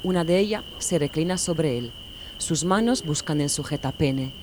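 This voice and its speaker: A woman narrating an audiobook in a calm, measured manner. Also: deep voice, background noise. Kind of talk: audiobook reading.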